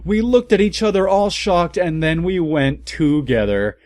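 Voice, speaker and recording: A man's voice: fairly high pitch, measured pace, darkish tone, dry recording, clean studio sound. A man giving a theatrical comedic reading of fan fiction, breaking in with incredulous asides. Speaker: comic reader. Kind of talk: comedic dramatic narration of fan fiction with interjections.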